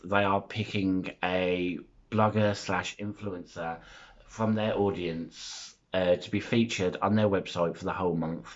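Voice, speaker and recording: A man in his thirties, chatty and self-deprecating, who rambles through a vlog with plenty of ums and uhs. His voice sits very low, and the recording sounds a bit dull and lo-fi. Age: 30s. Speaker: YouTuber. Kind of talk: casual vlog chat.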